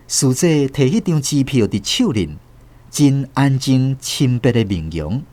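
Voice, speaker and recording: A man's voice: mid-range voice, darkish tone. A man with a Taiwanese accent reading scripted literary narration for a radio drama. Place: dry studio.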